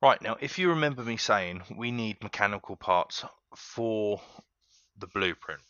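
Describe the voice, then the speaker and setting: Guy, low voice, chill chatty game tutorial, dry slightly lo-fi mic. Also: measured pace, clean tone, no room sound. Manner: relaxed, chatty, keeps addressing viewers as guys. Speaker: gaming tutorial host. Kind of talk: casual step-by-step game tutorial.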